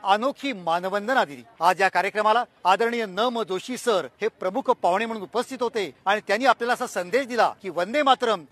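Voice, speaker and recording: A man's voice: high voice, brisk, hardly any pauses, a bit bright. A man who heads a history society, giving a formal, explanatory statement for a news report. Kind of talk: interview statement.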